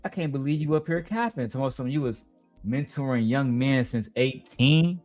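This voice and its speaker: Blunt, profane streamer reacting. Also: male voice, mid-range voice, fairly quick, a little mellow, no room sound, faint music behind. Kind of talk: casual reaction commentary.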